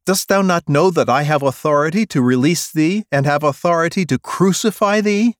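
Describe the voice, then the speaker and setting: A man's voice: on the high side, moderate pace, no echo, studio quality, voice pushed up, natural voice. A man voicing the Roman governor Pilate in a Bible reading, speaking in a strained, raised, declaiming way. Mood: strained, imperious.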